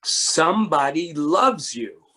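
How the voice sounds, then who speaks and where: An amateur reader playing a lonely, polite man who speaks formally and deliberately in a readers theatre story. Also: medium pitch, sing-song, pushed and bright, slight background noise, heavily acted. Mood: polite, hushed. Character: Mister Hatch, lonely polite man opening up in wonder. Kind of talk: readers theatre character reading.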